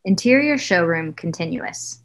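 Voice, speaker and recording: A woman's voice: on the low side, animated, measured pace. A woman reading screenplay stage directions as scripted narration.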